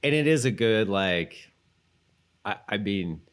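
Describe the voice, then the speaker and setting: A man's voice: on the low side, expressive, slow pace, clear, resonant tone. A man co-hosting a casual podcast about a TV show, thinking aloud in loose, rambling fragments. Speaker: podcast co-host. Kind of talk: casual chat, commentary on a TV show.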